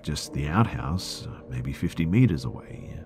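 A man with an extremely deep voice narrates a scary story in a slow, hushed manner, sometimes sinking to a whisper. A faint hiss sits under his voice. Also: lively intonation. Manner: hushed, drawn-out dramatic delivery with whispered words. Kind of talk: scripted horror story narration.

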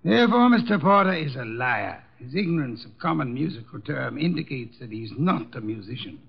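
An actor playing a heavy, imperious armchair detective in a 1950s radio drama, with formal, precise diction and supreme self-assurance. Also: male voice, mid-range voice, expressive, fairly quick, vocal fry, husky. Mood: haughty, precise. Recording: dull lo-fi old radio recording.

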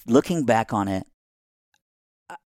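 A man with a Southern accent, originally from Iran, telling his life story on a podcast as a guest pastor in a lively way, acting out the voices of others and laughing often. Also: lowish voice, a little slow, no echo, studio quality.

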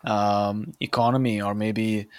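A man's voice: fairly low pitch, fairly even intonation, takes it slowly, dry recording. A man hosting a podcast interview, upbeat and chatty with many fillers. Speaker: podcast host.

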